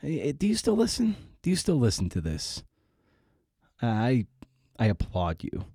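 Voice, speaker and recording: A man's voice: medium pitch, huge pitch swings, a little slow, mellow, slightly rough, dry recording, clean studio sound. A man hosting a podcast in a rambling, casual way, with a wry, self-deprecating, digressive manner. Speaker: podcast host and interviewer.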